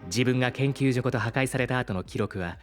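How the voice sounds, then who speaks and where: A man playing a polite, caring artificial intelligence with formal, measured speech in a Japanese audio drama. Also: medium pitch, soft background music, close to an everyday voice. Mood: earnest, grateful.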